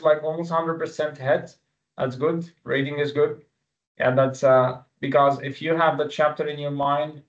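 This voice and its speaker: A man whose native language is Arabic, teaching an online exam-review webinar with live quiz questions in an informal, halting way. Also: mid-range voice. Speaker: exam-prep instructor. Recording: dull, lo-fi webinar audio.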